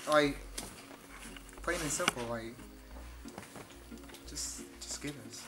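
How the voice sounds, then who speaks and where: A man's voice: on the high side, slow, over loud music. A young adult man chatting casually and informally with a cocky, joking manner. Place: indoor dress shop. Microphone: camera a few metres away. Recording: noisy room, camera at a distance.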